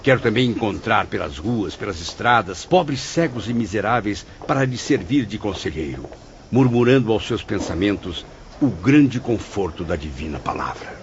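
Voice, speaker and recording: A man with a Brazilian accent playing an earnest, remorseful spirit in a dramatized audiobook, speaking in solemn, formal, measured diction. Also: lowish voice, lively intonation, slight background noise. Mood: earnest, fervent. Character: earnest, remorseful male spirit recounting his mission of consolation. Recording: dull muffled audio under loud music.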